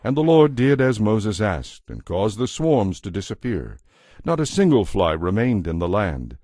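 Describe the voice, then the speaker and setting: A man narrating a dramatized audio Bible as a solemn, measured storyteller. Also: on the low side, sing-song, mellow, no echo, clean studio sound.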